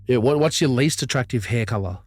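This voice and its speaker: A man's voice: mid-range voice, brisk, darkish tone, no echo. A man co-hosting a podcast, bantering bluntly and irreverently with plenty of swearing. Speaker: podcast co-host. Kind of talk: casual banter, heavy swearing. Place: vintage caravan turned studio.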